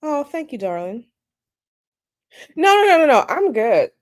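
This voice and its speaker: A woman with a slightly raspy morning voice, chatting casually with viewers on a live stream from home and greeting them by name. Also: high-pitched, very animated, a little slow. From United States. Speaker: tarot channel host. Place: at home, bedroom.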